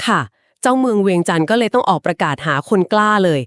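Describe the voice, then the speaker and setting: A woman in mid-range pitch tells an old legend in an easy conversational way, explaining each turn at length. She softens her lines with polite particles and small filler pauses. The sound is dry and close, with no room around it. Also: animated, dry recording. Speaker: podcast co-host. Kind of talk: conversational storytelling of a folk legend.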